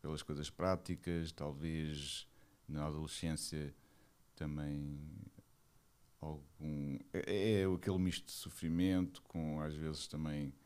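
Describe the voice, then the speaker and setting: Monk guest: man in his 40s, Lisbon Portuguese, deep low register, searching for words as he reflects. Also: fairly even intonation, slow, darkish tone, clean tone. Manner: hesitant, searching for words, thoughtful. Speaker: Buddhist monk, interview guest. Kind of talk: reflective personal account.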